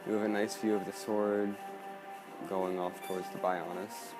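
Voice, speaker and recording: A man's voice: on the low side, level tone, moderate pace. A man giving casual let's play commentary over a video game. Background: faint game music.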